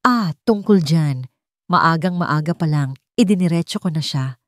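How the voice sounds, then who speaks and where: A Filipino-accented woman voicing a calm, evasive wealthy wife in an audio story, reassuring smoothly in mixed English and Tagalog. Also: low voice, measured pace, dry recording, clean studio sound. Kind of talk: audio story character dialogue.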